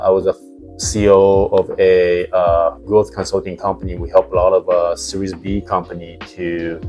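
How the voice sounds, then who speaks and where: A man's voice: low voice, a little mellow. A man who grew up in Japan with Japanese as his first language, a founder talking casually in an interview with many fillers and restarts. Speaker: founder and CEO, interview guest. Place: house in Palo Alto.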